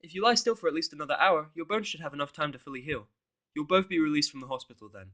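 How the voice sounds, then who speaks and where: A man's voice: fairly high pitch, lively intonation, racing, sharp tone, voice pushed up. Man putting on a prim pony nurse. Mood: matter-of-fact. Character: earnest, easily appalled female pony nurse.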